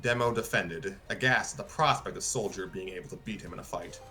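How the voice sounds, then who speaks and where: A man's voice: deep voice, a little fast, sharp tone, slightly rough. A man narrating a scripted story as host of a live stream reading. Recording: music behind the voice.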